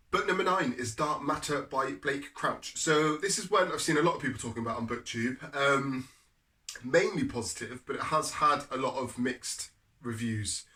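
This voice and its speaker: A man's voice: medium pitch, bright, forceful tone, distant mic. A man from the UK reviewing books in a casual vlog, nasal and congested from a cold, chatty and repeating words with frequent ums. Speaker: book reviewer.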